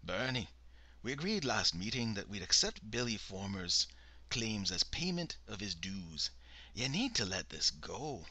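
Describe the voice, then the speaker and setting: A man voicing a gruff, commanding old male cult elder with a Scottish accent. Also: lowish voice, sing-song, unhurried, sharp tone, dry recording, heavily acted. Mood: firm. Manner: exasperated, commanding.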